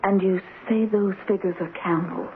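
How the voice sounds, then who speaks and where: An actress playing a sensitive, cultured young American wife in a radio drama. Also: female voice, medium pitch, steady tone, normal speed, lightly acted. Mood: admiring, curious. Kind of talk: old radio drama acting. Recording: muffled, hissy old radio broadcast.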